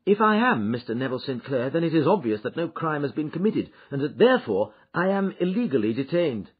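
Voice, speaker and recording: A man's voice: a little high, very animated, a little fast, breathy edge, narrow, tinny audio, voice pushed up. A man doing a weary, proud prisoner. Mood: defiant.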